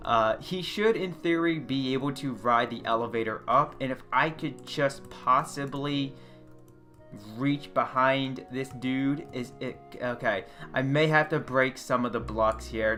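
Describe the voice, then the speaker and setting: Male gameplay host, bright forceful voice, loud and chatty, desk mic, faint guitar music underneath. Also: mid-range voice. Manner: loud, chatty, self-correcting. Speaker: gaming channel host. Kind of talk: casual game commentary. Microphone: desk USB condenser mic.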